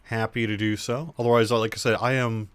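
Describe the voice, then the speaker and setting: A man with a sore throat and cough, hosting a casual live stream, talking in a halting way with many fillers and restarts. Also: on the low side, flat delivery, a bit bright, smooth, no echo. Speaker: livestream host for an online game.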